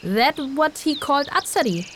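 A woman acts a cheeky young human girl for a fantasy audio drama. She is chatty and full of questions in a highish voice that bounces widely, with clearly noticeable background noise. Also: lively intonation, normal speed, fairly bright tone.

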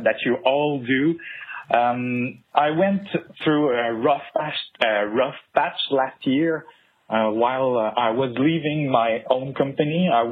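A man from Quebec with a Québécois French accent, a native French speaker leaving a sincere, halting voicemail full of filler sounds. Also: medium pitch, husky. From Quebec, Canada. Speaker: caller. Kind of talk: recorded voicemail message. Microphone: phone. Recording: tinny phone line.

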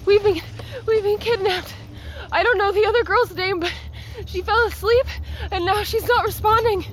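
A woman's voice: extremely high pitch, moderate pace. An actress playing a frightened, bewildered young kidnapped woman in an audio drama. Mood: shaken, confused.

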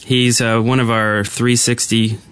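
A man's voice: mid-range voice, little pitch movement, unhurried, a bit bright, smooth. Easygoing man rambling on a podcast. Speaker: podcast co-host. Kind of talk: casual gaming podcast chat.

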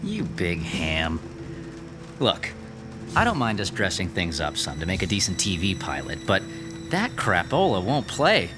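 A man playing a brash, sceptical, excitable TV cameraman in a radio drama, with a blunt, sarcastic, slangy way of talking. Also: low voice, unhurried, exaggerated voice. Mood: scornful. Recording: music behind the voice.

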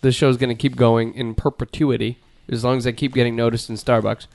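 Middle-aged male host, deadpan and rambling, dry close sound, garage podcast. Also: mid-range voice, fairly quick, gravelly, dry recording. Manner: rambling, deadpan, trails off and restarts. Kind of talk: casual joking banter. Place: home garage studio.

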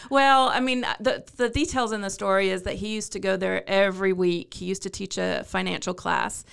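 A woman's voice: mid-range voice, fairly even intonation, moderate pace, gravelly, a bit bright, slight background noise. A woman from England, a chamber of commerce staffer, chatting as a guest in a friendly, self-deprecating way with many fillers and restarts. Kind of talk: casual interview chat.

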